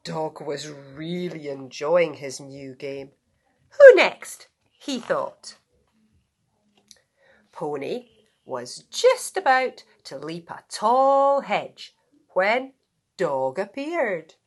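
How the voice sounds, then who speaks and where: A woman reading a children's bedtime story aloud in a theatrical, drawn-out way, dropping into hushed tones. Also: low voice, expressive. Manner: theatrical, drawn-out, with hushed whispers and acted yawns. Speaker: storybook reader, school staff member.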